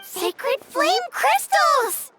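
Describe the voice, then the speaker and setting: A sweet young girl from a fantasy game, keen and friendly. The voice is extremely high and lively in its intonation, with music clearly audible behind it. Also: drawn-out words, exaggerated voice. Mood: eager, excited. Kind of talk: video game dialogue.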